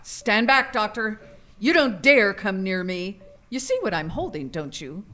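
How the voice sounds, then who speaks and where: An actress playing a plucky, boastful wife and martial-arts heroine, theatrical and cocky, in a live radio drama. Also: female voice, mid-range voice, lively intonation, measured pace, pushed and bright. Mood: cocky, defiant. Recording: live stage recording, faint noise.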